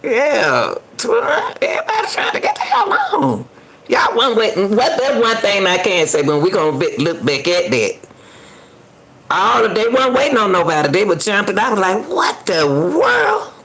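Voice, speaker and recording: A woman giving casual, colloquial reaction commentary as host, sounding incredulous, with drawn-out remarks. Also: mid-range voice, huge pitch swings, crowd noise.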